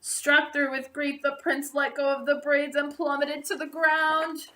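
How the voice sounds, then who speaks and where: A woman reads a fairytale aloud in a bright, fairly high voice. Her storytelling is expressive and deliberate, with drawn-out phrase endings. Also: slight background noise. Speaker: storybook reader. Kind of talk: read-aloud storybook narration.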